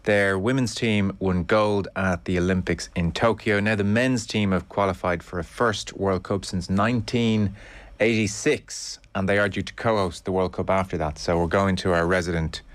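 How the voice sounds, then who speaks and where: A man presenting a radio show, introducing the next segment in a measured, formal way. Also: low voice, steady tone, dry recording.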